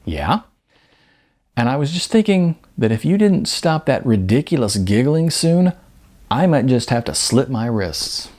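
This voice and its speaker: A man's voice: mid-range voice, very animated, a little slow, darkish tone. A man voicing an amused, teasing male stranger from the next restaurant table in a novel.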